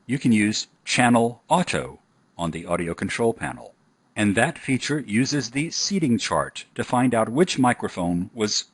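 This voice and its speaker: A man narrating a scripted software tutorial in an instructional style. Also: medium pitch, breathy edge, dry recording.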